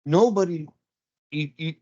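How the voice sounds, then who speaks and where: A man's voice: a little high, measured pace, warm tone, no echo. Blunt, aggrieved older man. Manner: aggrieved, blunt, rambling complaint. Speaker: resident speaking at public comment. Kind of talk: public comment at a council meeting. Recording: dull lo-fi council chamber mic.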